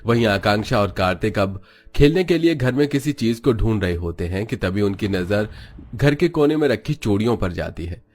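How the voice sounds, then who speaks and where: Low-pitched male story narrator. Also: darkish tone. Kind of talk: scripted narration of an animated family story.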